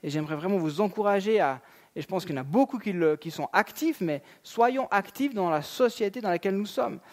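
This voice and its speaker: A man's voice: sits high, animated, fairly quick. A man in his thirties, a pastor giving a sermon in a church, talking in a conversational way with fillers and asides. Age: 30s. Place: church sanctuary.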